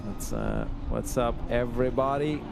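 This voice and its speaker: A man's voice: medium pitch, wildly swooping pitch, moderate pace, creaky, over music. A man commentating live drifting with enthusiasm, often restarting his sentences. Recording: loud engine and track noise. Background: drifting cars.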